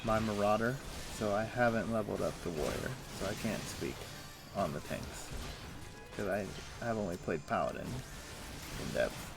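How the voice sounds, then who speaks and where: A man's voice: lowish voice, normal speed, vocal fry, over music. A man streaming a game from home on a headset, chatting casually and thinking aloud with plenty of ums and uhs. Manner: relaxed, thinks aloud with many fillers. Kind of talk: casual chat while gaming.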